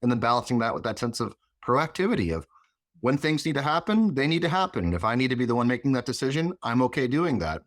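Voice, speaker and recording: A man speaking as an interview guest in a reflective, explanatory way, phrasing his thoughts in a measured manner. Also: mid-range voice, big pitch swings, dry recording, studio quality. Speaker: interview guest, chief of staff.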